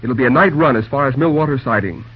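An actor playing a businesslike railroad official with a slightly husky voice in an old-time radio drama. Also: male voice, medium pitch, quick pace, natural voice. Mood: purposeful. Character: businesslike railroad official planning a risky run. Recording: dull old radio recording.

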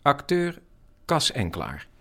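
A man's voice: mid-range voice, huge pitch swings, slow pace, fairly bright tone, a little hiss or hum. A man reading scripted narration in the style of a radio documentary.

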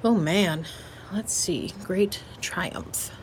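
Chill young tech gal, lowish voice, wry and slangy, some ambient noise behind her, audio drama. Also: expressive, extremely slow, exaggerated voice, rough recording. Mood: reflective, easygoing. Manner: casual, slangy, dryly joking. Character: laid-back, wry, blunt young hardware tech. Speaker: voice actor. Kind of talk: naturalistic audio drama dialogue.

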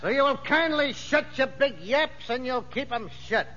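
A man's voice: very high-pitched, lively intonation, moderate pace, sharp tone, full character voice. A male actor playing a brash, bossy convict who bullies in slangy, mock-formal speech in a 1950s radio drama. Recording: muffled, noisy old radio broadcast.

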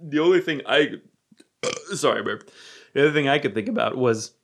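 A young man from Romania with an American accent, telling a personal story in casual chat. He talks animatedly, swears freely and drops to a low murmur when quoting his own thoughts. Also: expressive, moderate pace, creaky.

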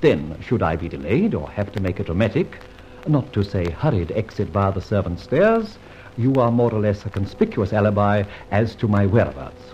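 An Australian actor playing a suave, playful gentleman thief in a 1950s radio drama, brisk and theatrical. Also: on the low side, big pitch swings. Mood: scheming, playful. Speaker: lead character. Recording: dull old radio recording, faint hiss.

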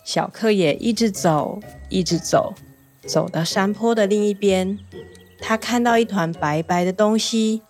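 Woman narrator, gentle bedtime tale, soft music underneath. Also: mid-range voice, measured pace. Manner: gentle, storytelling with lively exclamations. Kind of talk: children's bedtime story narration.

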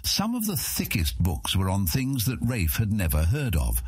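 A man narrating a scripted fantasy audiobook as a measured, expressive storyteller. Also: fairly low pitch, breathy edge, dry recording, clean studio sound.

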